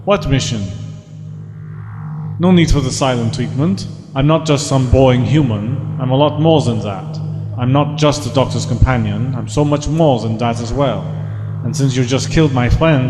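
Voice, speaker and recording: An actor playing a sarcastic, defiant time-traveller's companion in a sci-fi audio drama, quick with wry retorts. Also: male voice, mid-range voice, lightly acted. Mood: defiant, probing. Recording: echoey reverberant space, music behind.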